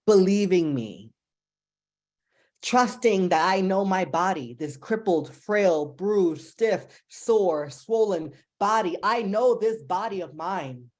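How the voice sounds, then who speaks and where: A young woman from New Jersey with a New Jersey accent, giving an impassioned, fast-paced speech as a symposium panelist, emphatic and pleading. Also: on the low side, a little mellow. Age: young adult.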